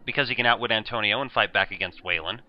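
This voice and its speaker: A man's voice: lowish voice, fast talker, natural voice. A man playing a brainy, fussy teenage schoolboy in an audio drama, with precise, bookish phrasing. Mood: dry, scheming. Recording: dull lo-fi recording, crowd noise behind.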